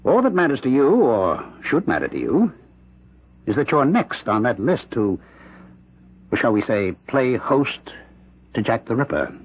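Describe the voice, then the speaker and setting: Male possessed convict for radio thriller: husky, theatrical, highly animated, menacing, oratorical, dull vintage sound. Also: measured pace, pauses often. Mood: sinister insistence. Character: sinister prisoner possessed by Jack the Ripper's spirit. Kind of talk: 1970s radio drama acting. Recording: dull lo-fi old radio recording.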